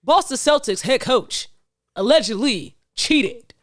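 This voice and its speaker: A woman's voice: sits high, lively intonation, takes it slowly, no room sound, clean studio sound. Laughing, slangy podcast woman. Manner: laughs often, curses freely, slangy. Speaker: podcast co-host. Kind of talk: casual chat.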